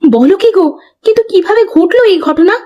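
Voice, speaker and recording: A woman voicing a concerned, shrewd and devout village fisherman's wife in an animated folk tale, expressive and caring. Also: very high-pitched, fairly quick, dull audio. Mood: alarmed, questioning. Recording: music behind the voice.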